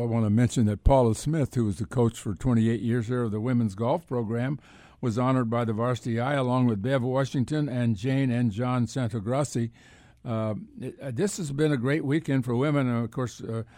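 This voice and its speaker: A man co-hosting unscripted sports talk radio, speaking haltingly and repeating words as he goes. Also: medium pitch, fairly even intonation, dark tone, no room sound, studio quality.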